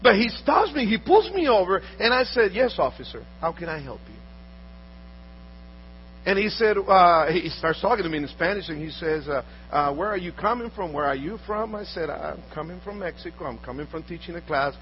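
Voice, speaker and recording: A man's voice: high-pitched, lively intonation, normal speed. Earnest man from Honduras telling stories. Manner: earnest, repeats words and restarts often. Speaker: speaker telling personal stories. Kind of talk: storytelling talk. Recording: dull audio with faint mains hum.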